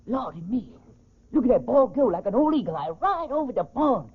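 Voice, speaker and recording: An excitable golf caddy, with an extremely high voice and quick, gushing, folksy delivery. It comes through as a tinny 1940s radio recording. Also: male voice, breathy edge, exaggerated voice. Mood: amazed. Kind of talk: 1940s radio drama acting.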